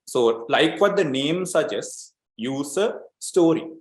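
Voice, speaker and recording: A man's voice: on the high side, lively intonation, takes it slowly, echoey room, rough recording. A man from Sri Lanka presenting an online training webinar as an agile coach, explaining steadily with many fillers and repeated words.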